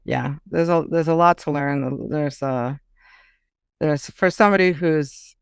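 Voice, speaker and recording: Smooth-voiced woman, chatty author in an interview. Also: deep voice, a little slow, dry recording, clean studio sound. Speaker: guest author and ghostwriter. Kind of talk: casual conversational interview.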